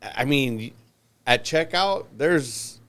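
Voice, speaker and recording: A man's voice: mid-range voice, expressive, drawn-out words, fairly bright tone. An American man hosting a podcast in a casual, informal chatty style. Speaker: podcast host. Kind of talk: casual chat.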